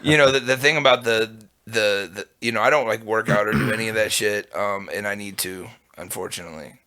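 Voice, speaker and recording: A young man with a low voice, rambling casually on a podcast, with constant fillers and swearing. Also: fairly quick, pushed and bright. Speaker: podcast guest. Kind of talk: casual chat.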